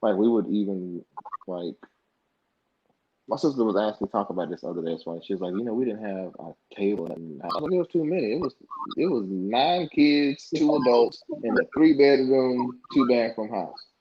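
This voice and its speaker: A man's voice: fairly low pitch, moderate pace. A young man from the United States, a podcast guest, telling stories casually and acting out past conversations in colloquial speech. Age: young adult. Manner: animated, acts out past dialogue in colloquial speech. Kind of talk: casual interview storytelling. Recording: dull lo-fi podcast audio.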